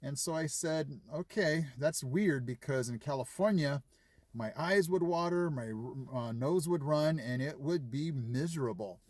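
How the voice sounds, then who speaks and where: A man's voice: a little high, a little slow, a little mellow. A man chatting casually to his viewers, retelling conversations with lots of fillers. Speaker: vlogger. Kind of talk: casual chat to camera.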